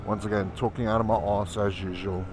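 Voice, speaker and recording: A man's voice: lowish voice, flat delivery, fairly quick. A man riding a motorcycle outdoors, vlogging in a chatty, profane, self-mocking way through a helmet camera. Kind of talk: casual rambling travel vlog. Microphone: helmet-mounted camera. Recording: loud wind and engine noise.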